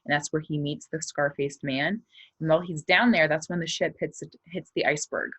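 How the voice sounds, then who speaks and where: A woman's voice: deep voice, steady tone, moderate pace, fairly bright tone, slightly rough, no echo. A woman schoolteacher explaining and reading a children's story aloud in simple, explanatory language for her pupils. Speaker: elementary school teacher.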